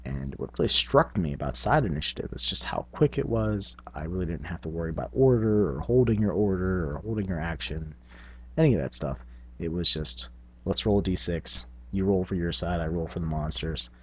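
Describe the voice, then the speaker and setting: A man's voice: low voice, lively intonation, fairly quick, slight background noise. A man talking casually and at length as the host of a tabletop gaming video. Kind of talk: casual rambling talk.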